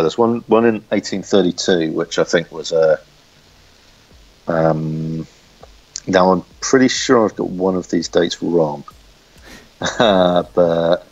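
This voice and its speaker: A man's voice: deep voice, slow, pauses often. A man chatting as an interview guest, a writer who speaks hesitantly and often searches for words, on a lo-fi recording. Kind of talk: casual interview chat.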